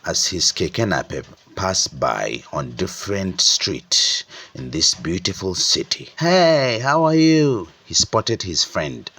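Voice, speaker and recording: Man, Nigerian accent, folktale narrator, unhurried, husky, expressive pitch movement. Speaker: storyteller.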